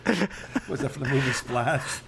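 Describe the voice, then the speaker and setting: A man's voice: medium pitch, lively intonation, normal speed, gravelly, noisy background. A middle-aged man chatting casually as a podcast co-host on a phone call.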